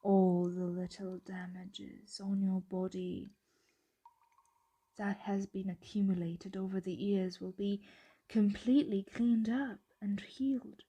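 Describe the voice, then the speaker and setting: A woman playing a possessive, tender dragon in an ASMR roleplay, speaking softly and intimately, often in a breathy whisper, close to the microphone. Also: medium pitch, fairly even intonation, no room sound, lo-fi sound. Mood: soothing, coaxing. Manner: slow, intimate, coaxing. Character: possessive, tender dragon hoarding a human pet.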